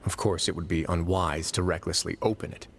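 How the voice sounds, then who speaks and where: An actor playing a calm, authoritative and wry warden of a prison fortress in a video game, speaking in measured, formal explanations. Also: male voice, low-pitched, creaky, background noise. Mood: measured, explaining. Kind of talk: English video game voice acting. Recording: game music playing behind the voice.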